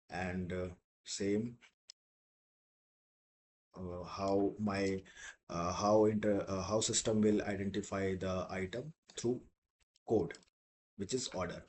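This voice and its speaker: A man teaching in a software demo, explaining step by step in a halting, repetitive way. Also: deep voice, slight background noise. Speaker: software instructor.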